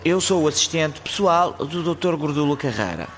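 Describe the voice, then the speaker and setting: An actor playing an officious personal assistant in a radio comedy, formal, bureaucratic and deadpan, with a European Portuguese accent. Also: male voice, on the high side, normal speed, soft background music. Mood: solemn, officious. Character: officious male personal assistant giving solemn warnings. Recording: noisy recording.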